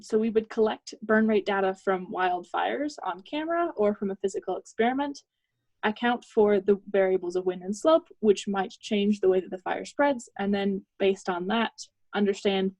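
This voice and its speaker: A young woman giving a technical project presentation as a student, in a measured, explanatory way. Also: medium pitch, a little mellow, no echo, poor audio.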